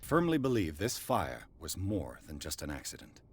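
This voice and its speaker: An actor playing a composed, persistent private detective in a video game, speaking in a measured, probing, firm way. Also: male voice, sits low, wildly swooping pitch, breathy edge, heavily acted. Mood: pensive. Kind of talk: video game cutscene acting.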